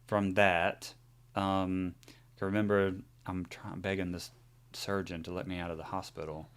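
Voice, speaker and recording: Low, smooth-voiced man in his forties. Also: level tone, a little slow, fairly bright tone. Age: 40s. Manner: rambling, open and personal, many fillers and restarts. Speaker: podcast co-host. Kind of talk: casual chat.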